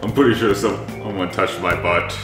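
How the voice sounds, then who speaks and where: A man's voice: low voice, fairly even intonation, moderate pace. A young man co-hosting a let's play, chatting casually and reading game dialogue aloud. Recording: echoey room, game music behind.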